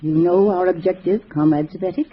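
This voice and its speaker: A woman's voice: on the low side, steady tone, fairly quick, lightly acted. An actress playing an older, calculating female communist organizer in a 1950s radio drama, precise, didactic and assured. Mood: calculating, instructive. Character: gray-haired female communist organizer, sweet-faced, calculating, commanding. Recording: muffled vintage radio recording, faint hiss.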